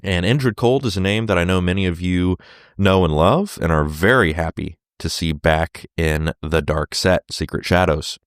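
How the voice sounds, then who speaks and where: A man hosting his solo podcast while sick, with a sore throat and a fading voice, talking casually with many fillers and throat clears. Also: low-pitched, moderate pace, no room sound, studio quality. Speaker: podcast host.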